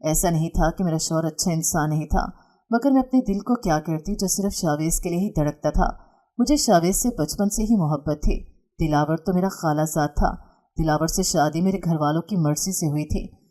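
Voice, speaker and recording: A woman narrating a first-person dramatic story in a measured, emotional storytelling manner. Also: deep voice, mellow.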